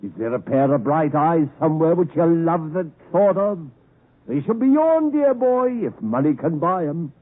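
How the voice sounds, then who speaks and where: An actor playing a rough old escaped convict in a 1950s radio drama, with rustic diction and halting, emphatic phrasing, by turns menacing and doting. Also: male voice, a little high, big pitch swings. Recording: muffled narrowband 1950s radio broadcast recording.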